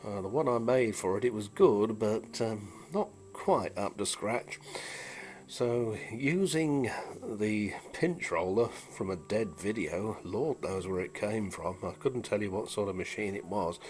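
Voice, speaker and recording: A man's voice: lowish voice, expressive, unhurried, darkish tone. A middle-aged British man chatting casually as a hobbyist demonstrator, rambling in a dry, wry, musing way. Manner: wry, dryly humorous, musing. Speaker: vintage audio hobbyist and repairer presenting.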